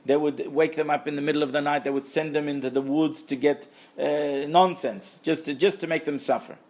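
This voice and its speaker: A man's voice: fairly high pitch, steady tone, a little fast, darkish tone. A man giving a Torah lecture as a religious teacher, speaking emphatically and repeating phrases for stress. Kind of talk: Torah lecture to a live group.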